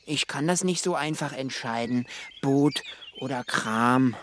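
An actor in a children's radio play playing a naive, proud young would-be sailor, earnest and defiant. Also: male voice, mid-range voice, unhurried, fairly bright tone, slight background noise, close to an everyday voice. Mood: indignant.

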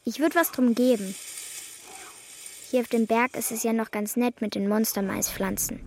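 A woman's voice: on the high side, fairly even intonation, moderate pace, darkish tone, a little hiss or hum, lightly acted. An actress playing an earnest, youthful girl who is a devoted friend, in a German children's radio drama. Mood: friendly, earnest.

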